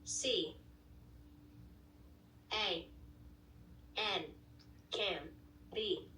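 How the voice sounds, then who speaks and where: Slow synthetic woman's voice spelling words. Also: medium pitch, sharp tone, distant mic, a little hiss or hum. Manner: spells words letter by letter, then says the word. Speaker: speech device voice for a child. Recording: roomy device speaker heard from across the room.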